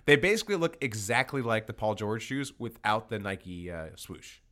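A man's voice: fairly low pitch, sing-song, normal speed, fairly bright tone. Casual man bantering as podcast host.

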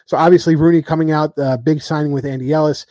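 Mellow man chatting about rugby. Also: on the high side, fairly even intonation, quick pace, dry recording, studio quality. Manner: rambling, lots of ums, joking trash talk. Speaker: podcast host. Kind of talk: casual rugby chat.

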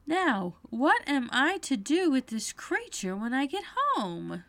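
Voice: high voice, very animated, slow, a bit bright, no room sound, pitched above the performer's own voice, heavily acted. A narrator voicing an earnest, prim and inquisitive young girl in a storybook reading. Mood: pondering.

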